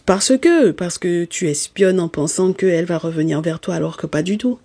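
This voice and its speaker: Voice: medium pitch, expressive, moderate pace, vocal fry, dark tone, slightly rough, no room sound. A tarot card reader who is not a native speaker of the language. They talk straight to viewers in an emphatic, scolding way, often stopping to check in with "okay?". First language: not French. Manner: speaks directly to listeners with terms of endearment. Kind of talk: spoken tarot reading addressed to viewers.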